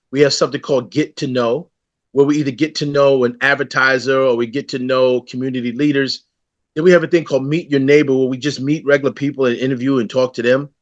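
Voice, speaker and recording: A man's voice: mid-range voice, no echo. Friendly man hosting, dry dull sound. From the Bronx, New York City. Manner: friendly, loose and rambling questions. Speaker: newspaper publisher and host. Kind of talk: community interview hosting.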